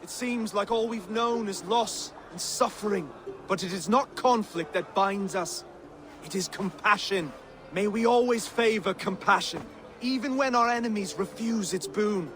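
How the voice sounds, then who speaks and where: An actor playing a gentle, earnest and pious Saxon king in a dramatic video game. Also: high voice, unhurried, slight background noise. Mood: earnest, solemn. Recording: music behind the voice.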